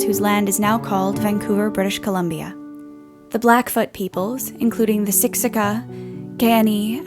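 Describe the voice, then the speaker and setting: A woman with a medium-pitched voice reads a scripted introduction as artistic director of an audio play. Guitar music plays loudly behind her. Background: loud guitar music.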